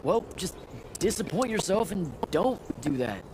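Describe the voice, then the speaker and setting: A man's voice: sits high, sing-song, measured pace, noisy background. A man playing an impulsive, earnest young battlemage in a video game, casual and blunt. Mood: pleading. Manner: casual, blunt, pleading at times. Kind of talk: naturalistic video game acting.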